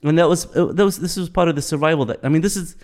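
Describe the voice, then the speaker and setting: A man in his fifties from Bahrain, chatting in a relaxed podcast conversation, reflective, often repeating and restarting his words. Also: on the high side, racing. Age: 50s. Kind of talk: casual podcast chat.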